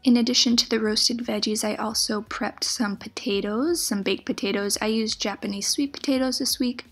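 A woman's voice: a little high, fairly even intonation, moderate pace. A young woman hosting a cooking channel, giving a chatty, enthusiastic recipe voice-over. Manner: upbeat, chatty, enthusiastic about food. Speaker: plant-based cooking channel host. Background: soft background music.

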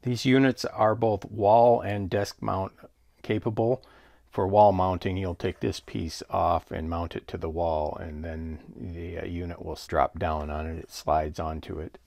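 A man's voice: low voice, fairly even intonation, measured pace, creaky, darkish tone, no echo, studio quality. A man giving a casual, explanatory product demonstration in a plain-spoken, matter-of-fact way.

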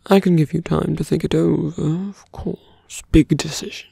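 Man acting a husky, whispering seductive villain. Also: on the high side, steady tone, dark tone, dry recording, studio quality, heavily acted. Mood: coaxing. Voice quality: breathy, husky. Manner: slow, coaxing, lingering pauses. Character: seductive, brooding male fantasy villain wooing a hero. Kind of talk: intimate ASMR roleplay, close whispering.

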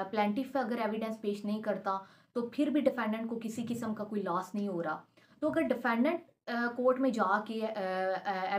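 A young woman giving an explanatory law lecture, didactic and repeating points step by step. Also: on the high side. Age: young adult. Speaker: advocate teaching law. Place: small indoor home study.

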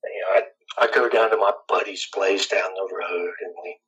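A middle-aged man with a scratchy, raspy voice, chatting casually and rambling as an interview guest. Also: low voice, steady tone, moderate pace, a bit bright. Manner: laid-back, rambling, chuckles often. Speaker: guitarist guest.

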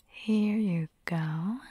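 A woman voicing a caring, gently bossy vampire hunter girlfriend in a soft, breathy, half-whispered ASMR roleplay, close to the microphone. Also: lowish voice, heavily acted. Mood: playful teasing.

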